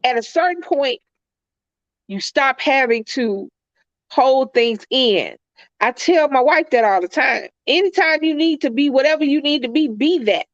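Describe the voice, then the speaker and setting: A congested woman talks earnestly in a casual chat, circling back over her own phrases as she reflects. Her voice sits high. The sound is dry and a little dull, with a lo-fi edge. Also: animated, unhurried, no room sound. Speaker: co-host.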